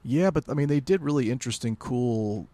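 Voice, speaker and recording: A man co-hosting a podcast in casual pop-culture chat, halting and rambling as he corrects himself. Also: medium pitch, expressive, warm tone, dry recording. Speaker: podcast co-host.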